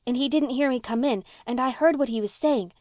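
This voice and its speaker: An earnest little girl voiced by a woman audiobook reader, high-pitched and sweetly anxious. She pleads in a breathless, halting way, as in an old-fashioned storybook. The sound is dry and close. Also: a little mellow, no room sound, lightly acted. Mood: earnest confiding. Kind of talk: audiobook character voice.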